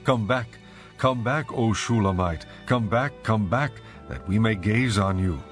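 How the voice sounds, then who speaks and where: A man playing an adoring lover in a dramatized scripture reading, speaking in measured, reverent, poetic phrases. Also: fairly low pitch, soft background music, natural voice. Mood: earnest. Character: adoring male lover praising his bride, ancient royal poetry.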